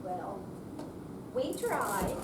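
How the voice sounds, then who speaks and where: A woman in an amateur stage reading, playing a brisk, practical visiting therapist. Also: medium pitch, sing-song. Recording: roomy, distant, noisy live room recording.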